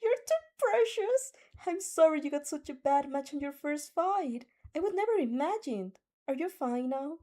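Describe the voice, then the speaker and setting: Squealing, high-pitched gushing anime girl. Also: expressive, moderate pace, hardly any pauses, mellow. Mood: gushing, tearful sympathy. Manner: squealing, dramatic.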